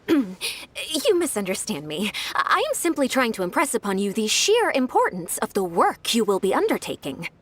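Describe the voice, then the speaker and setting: An actress playing a devoted, earnest young secretary in a video game, precise and businesslike yet eager. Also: female voice, fairly high pitch, sing-song, normal speed, fairly bright tone. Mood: flustered. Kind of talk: scripted video game voice acting.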